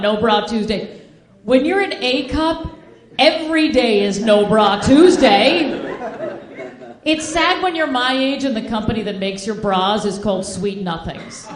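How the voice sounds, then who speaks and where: An American woman from Boston doing stand-up comedy, talking in an old-fashioned 1940s manner. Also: fairly high pitch. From Boston, United States. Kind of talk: stand-up comedy to a live audience. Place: indoor live comedy show, audience behind. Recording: echoey live room with audience.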